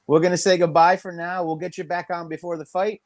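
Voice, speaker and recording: A man's voice: high voice, flat delivery, fairly quick, no echo, clean studio sound. A man hosting a casual interview, enthusiastic and hyping his guest, with loose grammar. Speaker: interview host.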